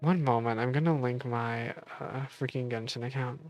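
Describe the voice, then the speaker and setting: A woman's voice: very deep voice, steady tone, moderate pace, muffled recording. A woman streamer giving casual reaction commentary to a game, informal and supportive, saying y'all. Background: video game music.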